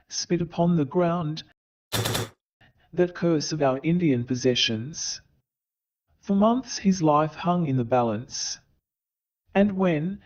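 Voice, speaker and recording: A man narrating a scripted story as in a staged radio play, with dramatic, deliberate phrasing. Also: a little high, a little mellow, rough recording. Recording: crowd sound behind the voice.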